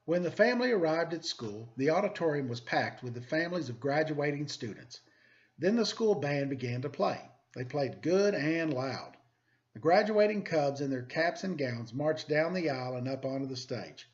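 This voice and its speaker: A middle-aged man reads to children in a storytime style. His voice sits a little high for a man, and the recording is somewhat dull and lo-fi. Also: lively intonation, normal speed. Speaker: story reader, teacher. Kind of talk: animated children's picture book read-aloud, then casual address. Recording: dull lo-fi sound.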